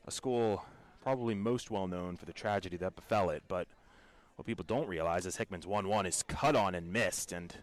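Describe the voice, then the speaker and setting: Man, American accent, low-pitched voice, radio baseball commentary, measured descriptive storytelling. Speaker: baseball play-by-play announcer.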